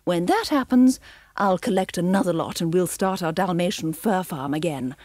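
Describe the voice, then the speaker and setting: A shrill, imperious villainess barks commands with gleeful cruelty, as a woman narrator puts her on. The voice leaps high and loud, swinging wildly in pitch, with a slightly husky edge in a dry room. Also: lively intonation, normal speed, dry recording.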